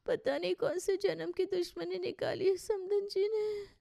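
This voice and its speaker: Cartoon mother, played by a woman: middle-aged, stubborn, querulous, high and soft-toned. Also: steady tone, measured pace, gravelly, full character voice. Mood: wailing misery. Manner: querulous, dramatic.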